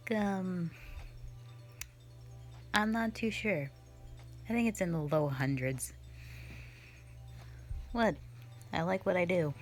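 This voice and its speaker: Actress as a nonhuman female slayer, sardonic and dismissive; low, unhurried delivery for an audio drama. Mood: bored. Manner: dismissive, mocking, blunt.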